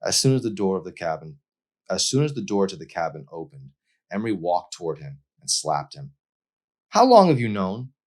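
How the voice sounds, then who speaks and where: A man's voice: sits low, a little fast. A man reading his own novel aloud as dramatic audiobook narration.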